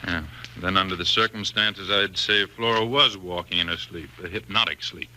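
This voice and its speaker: An actor playing a stern, authoritative doctor in a 1940s radio thriller, speaking in a firm, deliberate manner. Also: male voice, low-pitched, pushed and bright. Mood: grave. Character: stern, authoritative male doctor, measured and protective. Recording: noisy vintage 1940s radio recording.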